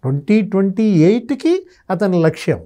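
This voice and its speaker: Casting: male pundit in his fifties, high-set but soft-edged voice, storytelling delivery. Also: very animated, normal speed. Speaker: senior journalist, guest analyst. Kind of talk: conversational political commentary. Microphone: lapel mic.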